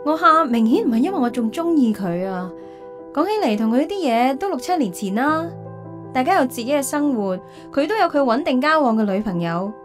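A woman's voice: sits high, expressive, fairly quick. An actress playing a reflective, wistful single career woman in a radio drama, with a Hong Kong Cantonese accent. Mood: sorrowful, reflective. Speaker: lead actress and narrator. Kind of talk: radio drama narration and dialogue. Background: background music.

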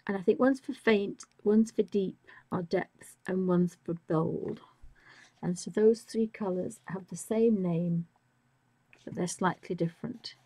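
A woman talks through her colouring step by step in a soft, musing way, her words often fading to a whisper. Her voice is mellow and husky, a little croaky from a chest infection. She sounds like an art tutor streaming a live lesson. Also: mid-range voice, unhurried, no echo, rough recording.

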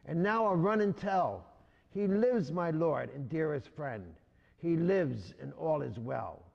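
A man's voice: fairly high pitch, expressive, takes it slowly, vocal fry, big-room sound, lo-fi sound, exaggerated voice. A man voicing a grieving woman disciple in a rhyming storybook read aloud. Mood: joyful. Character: grieving then joyful woman disciple, Mary.

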